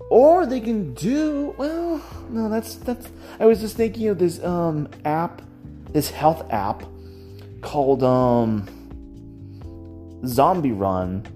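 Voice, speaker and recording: A podcast host talking casually about opinions, halting and thinking out loud, often trailing off and self-correcting. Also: mid-range voice. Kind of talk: casual rambling opinion talk about games and audio drama. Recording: music playing behind the voice. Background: music.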